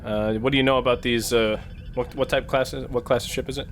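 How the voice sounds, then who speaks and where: A man chatting casually as a tabletop game player, halting and searching for his words. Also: medium pitch, fairly even intonation. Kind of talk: casual chat. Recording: noticeable background noise.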